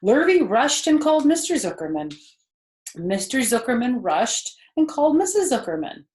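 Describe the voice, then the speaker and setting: An older woman reading a children's storybook aloud, with expressive, deliberate phrasing. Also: high voice. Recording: echoey room.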